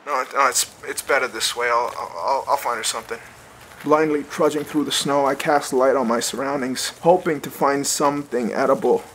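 A man's voice: medium pitch, moderate pace, fairly bright tone, slight background noise, lightly acted, rough recording. An actor playing a weary, sweary survivor narrating his own trek in a dramatic audio series. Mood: weary, determined. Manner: casual, hesitant in dialogue, swearing. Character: sweary, weary male survivor trekking through snowy wilderness. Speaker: narrator and lead character. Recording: music bed under the voice. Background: soundtrack music.

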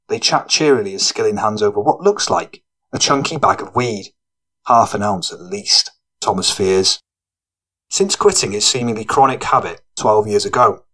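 A man's voice: fairly low pitch, a bit bright, poor audio. A man reading scripted narration for a gritty fiction audiobook.

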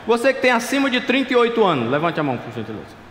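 A pastor in his thirties preaching a sermon at a pulpit, passionate and pleading, dropping to quiet asides. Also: sits high, wildly swooping pitch, very fast, a little hiss or hum. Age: 30s. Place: large dark church stage at a pulpit. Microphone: stand mic at a clear pulpit. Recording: echoey church hall, faint ambience.

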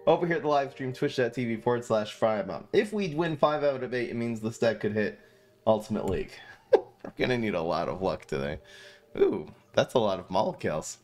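A man's voice: medium pitch, very animated, a little fast, a little mellow. A man in his thirties casually commenting on a card game he plays on stream, chatting and joking with his viewers from a small room. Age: 30s. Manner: chatty, self-mocking, reads chat aloud.

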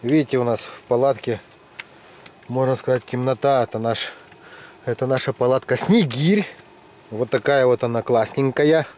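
A man's voice: mid-range voice, normal speed, pauses often, a little hiss or hum. A middle-aged man chatting casually and teasing his friends while ice fishing in a tent. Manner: joking, teasing friends, lively. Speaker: fishing vlogger. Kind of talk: casual commentary.

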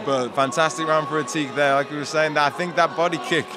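A man with a British accent co-commentating a kickboxing bout, reacting with quick, enthusiastic calls and often shouting. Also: on the high side, fairly even intonation. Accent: British English. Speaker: fight co-commentator. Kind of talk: ringside commentary. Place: function hall at a kickboxing event. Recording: loud crowd and hall noise behind.

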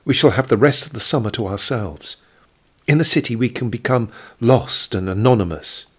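A man's voice: mid-range voice, normal speed, slightly rough, voice pushed up, lightly acted. A man voicing an earnest, protective, lovestruck rich boy in an audio drama, pleading as he speaks.

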